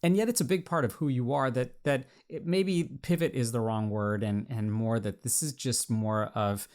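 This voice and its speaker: A man's voice: mid-range voice, normal speed, a little mellow. Winding, hesitant male podcast host. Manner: hesitant, repeats words and restarts, long winding questions. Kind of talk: interview conversation.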